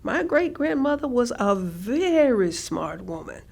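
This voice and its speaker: A woman playing a thoughtful grandmother in a staged reading, recounting family history in a measured, earnest way. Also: on the high side, sing-song, vocal fry, darkish tone, exaggerated voice. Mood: wry, proud.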